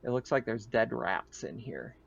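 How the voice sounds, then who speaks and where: A man's voice: medium pitch, expressive, normal speed, creaky, lo-fi sound, a little hiss or hum. A man improvising casual, informal narration as game master of a tabletop roleplaying game, with some swearing.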